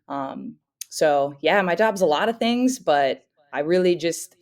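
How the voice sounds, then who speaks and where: A woman's voice: low-pitched, expressive, unhurried, a little mellow. Upbeat young woman, coach chatting. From United States (grew up in St. Louis, Missouri). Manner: friendly, upbeat, frequent "um" fillers. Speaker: guest, softball coach. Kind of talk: casual interview chat.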